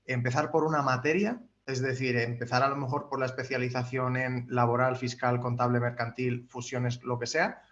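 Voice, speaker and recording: A man moderating a webinar passes on audience questions in a flat, monotone voice, stopping and restarting as he searches for words. His mid-pitched voice comes through a dull, lo-fi video call line. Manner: halting, many restarts, flat delivery.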